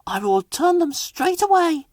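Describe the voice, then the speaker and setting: A man's voice: very high voice, big pitch swings, a little slow, dry recording, clean studio sound, voice pushed up, full character voice. A man voicing an earnest, polite young female steam engine in a children's storybook.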